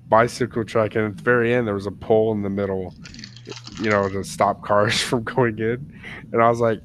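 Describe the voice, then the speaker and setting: Laughing low-voiced American man. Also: slight background noise. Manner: chatty storyteller, laughs often. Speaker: podcast co-host. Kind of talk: casual chat.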